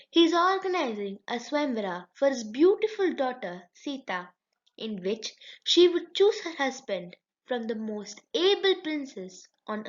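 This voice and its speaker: A woman storyteller voicing a gentle, wise male sage with a formal way of speaking. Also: a little high. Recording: dull, lo-fi recording.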